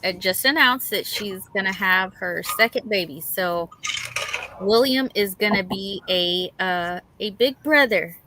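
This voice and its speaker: Bright voiced lady, middle aged, co-hosting a casual livestream, folksy n chatty. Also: medium pitch, a little slow, slight background noise, poor audio. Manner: chatty, folksy, reading out and greeting chat. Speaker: livestream co-host.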